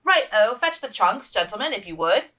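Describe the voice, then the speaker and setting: A woman voicing a brisk, commanding and effusive officer's wife in a period storybook reading. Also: mid-range voice, big pitch swings, pushed and bright, pitched above the performer's own voice. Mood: brisk ordering.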